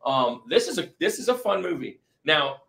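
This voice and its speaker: A man's voice: a little high, big pitch swings, moderate pace, fairly bright tone. A man hosting a podcast in casual, informal chat with an enthusiastic manner. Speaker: podcast host.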